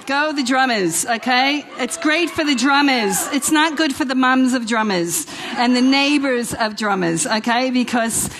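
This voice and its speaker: A woman's voice: high voice, a little slow, crowd noise, noisy background. A middle-aged woman with a New York accent touched by Italian and Australian, giving a chatty, exhortative church talk with repeated phrases and rhetorical questions. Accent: New York with Italian and Australian traces. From New York, United States. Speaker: guest speaker at a church women's event. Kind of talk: informal inspirational sermon to a live audience.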